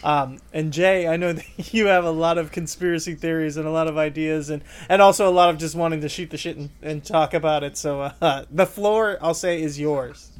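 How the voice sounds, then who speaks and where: A man's voice: on the high side, steady tone, a little fast, hardly any pauses, smooth. A man hosting a podcast in a loose, casual chatting style. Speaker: podcast host. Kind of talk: casual chat.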